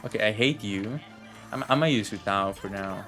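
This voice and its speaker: A man's voice: lowish voice, a little fast, a bit bright. A man chatting and rambling as he thinks aloud, commentating casually on a video game he is playing. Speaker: game streamer. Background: video game music and dialogue.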